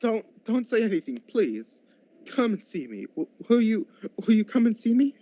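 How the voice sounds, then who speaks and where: A man playing a giddy, generous reformed old miser in a radio play, stammering a little in excitement. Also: high voice, normal speed. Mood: giddy, eager. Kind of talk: school radio drama acting. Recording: dull lo-fi home recording.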